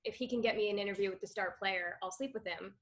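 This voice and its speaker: A woman in her thirties from Montreal, chatting as an interview guest. She tells lively stories and laughs often, and her voice sits at an ordinary middle pitch for a woman. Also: fast talker. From Canada, Montreal. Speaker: guest actress. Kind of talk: casual interview chat.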